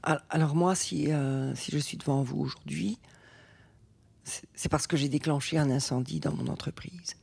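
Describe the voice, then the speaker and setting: An actress playing a nervous, apologetic, quietly defiant home care worker in a radio drama, hesitant and stammering. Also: female voice, deep voice, steady tone, normal speed, creaky, slightly rough, exaggerated voice. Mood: timid. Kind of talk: naturalistic radio drama acting.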